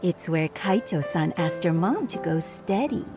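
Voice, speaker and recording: An actress playing a gentle woman who recalls an old friend's past in a conversational, wistful way for a video game cutscene. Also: lowish voice, animated, a little slow. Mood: encouraging. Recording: studio voice with music behind.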